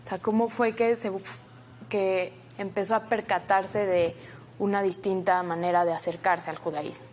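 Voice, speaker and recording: A woman's voice: mid-range voice, fairly even intonation, normal speed, a little mellow, a little hiss or hum. A woman hosting an interview, introducing and questioning her guest in a polite, formal way. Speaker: interview host.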